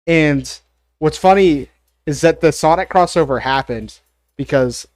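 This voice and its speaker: A man's voice: a little high, unhurried, no room sound, studio quality. A congested man casually talking through his opinions on a live stream, rambling with lots of fillers and the odd swear. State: congested, coughing. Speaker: streamer ranking cartoons.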